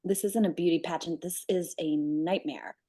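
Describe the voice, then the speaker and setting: A woman's voice: on the low side, fairly even intonation, normal speed, mellow, husky. A woman playing an anxious, complaining young beauty queen in a screenplay table read, testy and whiny. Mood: dismayed.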